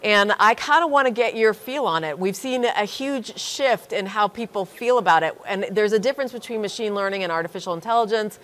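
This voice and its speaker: A woman's voice: medium pitch, expressive, brisk, hardly any pauses, fairly bright tone. A woman moderating a conference conversation, asking questions in an informal, conversational way. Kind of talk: interview questions.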